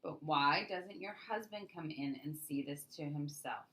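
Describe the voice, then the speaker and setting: A patronizing shop foreman from a children's storybook, read by a woman. He talks in a low, bright voice, skeptical and faintly condescending. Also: unhurried, reverberant.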